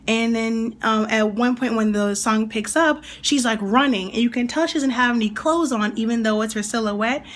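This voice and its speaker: A young woman with a slightly raspy voice, still getting over a cold, chatting enthusiastically and rambling as she reviews an anime. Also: on the high side. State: recovering from a cold. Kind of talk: casual video review.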